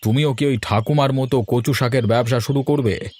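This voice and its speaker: A man's voice: medium pitch, fairly even intonation, normal speed, a little mellow, close to an everyday voice. A man voicing a friendly older village uncle in a cartoon story. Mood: friendly, approving.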